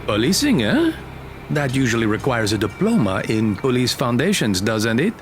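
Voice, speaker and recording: Animated military colonel, music behind. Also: male voice, medium pitch, normal speed. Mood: persuasive. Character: genial, persistent male military colonel recruiting a teenager. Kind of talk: audio drama acting. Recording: music under the voice.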